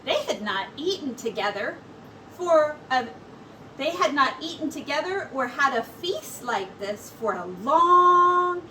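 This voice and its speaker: A woman teacher reading a storybook aloud to children in an expressive, deliberate way with drawn-out words. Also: sits high. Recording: distant mic with clear background noise.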